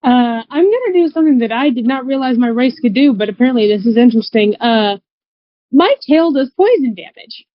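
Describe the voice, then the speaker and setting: Higher-voiced woman, dull dry audio. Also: expressive, brisk, dry recording. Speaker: tabletop game player. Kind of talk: casual in-game description.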